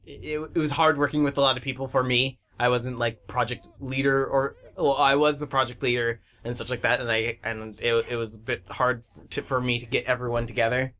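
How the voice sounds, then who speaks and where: A man's voice: medium pitch, little pitch movement, brisk, few pauses, fairly bright tone. A young man chatting casually on a commentary track as a series creator, often laughing through his words.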